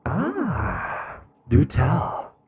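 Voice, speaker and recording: A man playing a seductive, scheming evil rose spirit in an amateur Minecraft roleplay, with a drawn-out, teasing delivery. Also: mid-range voice, wildly swooping pitch, breathy edge, highs cut off, heavily acted. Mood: smug.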